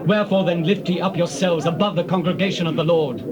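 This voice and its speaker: An actor playing an accusing, resentful rebel leader, challenging and declamatory in a scripture drama. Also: male voice, high voice, fairly even intonation, fast, a loud crowd, lightly acted.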